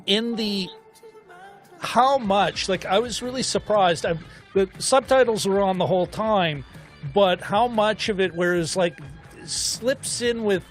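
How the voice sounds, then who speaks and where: A man co-hosting a film discussion show, chatting casually in a rambling, animated way as he thinks aloud. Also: sits high, unhurried, faint music behind. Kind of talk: casual chat.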